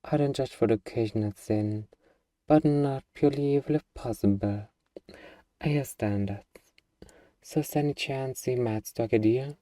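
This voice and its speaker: A man's voice: mid-range voice, normal speed, warm tone, dry recording, distant mic, close to an everyday voice. A man playing an eager, bargaining demon who craves a cuddly pet, in a playfully earnest, wheedling ASMR roleplay monologue. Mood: haggling.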